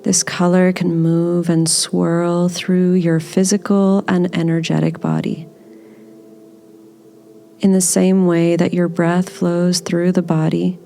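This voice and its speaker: Woman, meditation guide, very slow, even-toned, gentle, soothing, faint background noise. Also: fairly low pitch, gravelly. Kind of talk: guided body scan meditation.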